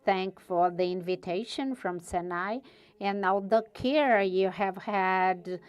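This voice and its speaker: Slow, smooth-voiced Portuguese woman speaker. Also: mid-range voice. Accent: European Portuguese. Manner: careful, searching for words. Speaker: guest speaker, university researcher. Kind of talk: conference presentation.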